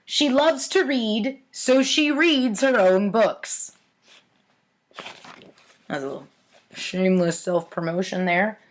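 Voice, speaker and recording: A woman's voice: medium pitch, slow, long pauses. An adult woman hosting a storytime, reading in a tongue-in-cheek manner with casual asides. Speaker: host of a storytime series reading own childhood writing.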